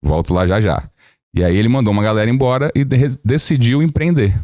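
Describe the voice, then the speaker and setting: A man in his thirties from Brazil, a lawyer telling his life story as a podcast guest in a relaxed, joking way. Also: medium pitch, brisk, no room sound, clean studio sound. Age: 30s. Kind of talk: casual podcast interview.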